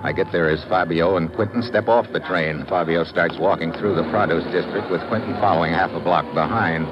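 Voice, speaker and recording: An actor playing a tough, wry, wisecracking adventurer who narrates an old radio drama in clipped phrases. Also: male voice, low-pitched, brisk, dull audio, noisy background, close to an everyday voice. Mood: clipped, suspenseful. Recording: vintage radio with loud music behind.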